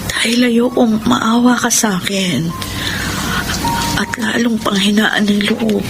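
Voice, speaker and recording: An actress playing a gentle, resigned, dying young woman in a Filipino radio drama, tender in manner. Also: fairly high pitch, loud music behind the voice. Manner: slow, tender delivery.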